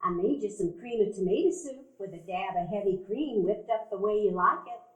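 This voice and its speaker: A woman playing the boy's kind, gentle but firm friend and caretaker in a live stage radio play. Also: mid-range voice, brisk, close to an everyday voice. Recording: echoey live theater room.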